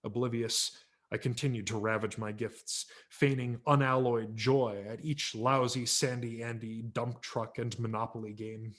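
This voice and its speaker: A man's voice: medium pitch, little pitch movement, a little slow, a bit bright. A male audiobook narrator with a mid-range voice reads a story aloud. His delivery is theatrical and wry, leaning hard on key words. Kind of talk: dramatic scripted story narration.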